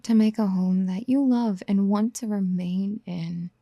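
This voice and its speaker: Casting: actress as obsessive motherly yandere for ASMR roleplay, very mellow and breathy, slow whispered coaxing, tender and possessive. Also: medium pitch, no echo, clean studio sound. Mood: adoring. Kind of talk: soft-spoken ASMR roleplay acting. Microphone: close mic.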